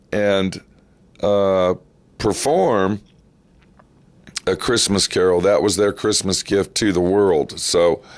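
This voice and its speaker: A man informally introducing vintage radio programs as a host, with a deliberate, emphatic delivery that repeats for emphasis. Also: on the low side, no room sound. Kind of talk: informal, unscripted spoken introduction.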